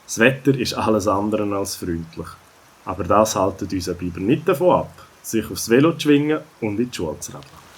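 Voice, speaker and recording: A man's voice: on the low side, lively intonation, brisk, a little hiss or hum. A man with a Swiss German accent narrating a scripted children's story.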